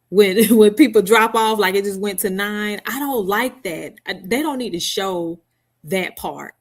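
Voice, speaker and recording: A middle-aged woman hosting a casual live stream from home, chatting with viewers by name and laughing often. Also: mid-range voice. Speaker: livestream host. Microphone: headset mic.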